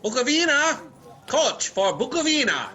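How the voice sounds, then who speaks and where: An actor playing an ominous, declamatory coach driver in a radio horror play. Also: male voice, extremely high pitch, sing-song, a little slow, pushed and bright, lo-fi sound, slight background noise, exaggerated voice. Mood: calling out. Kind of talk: radio drama acting.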